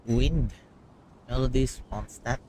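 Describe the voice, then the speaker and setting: A man's voice: medium pitch, slow, warm tone, slight background noise. A man with a Filipino accent reacting casually as a game streamer, thinking aloud in short murmured phrases. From Philippines. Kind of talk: casual livestream game reaction, mixing Tagalog and English.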